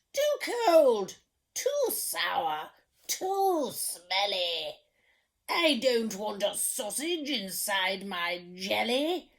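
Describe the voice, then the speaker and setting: A woman playing a slow, booming storybook king. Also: full character voice. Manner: slow, emphatic pronouncements. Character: fussy hungry king, grumpy then pleased.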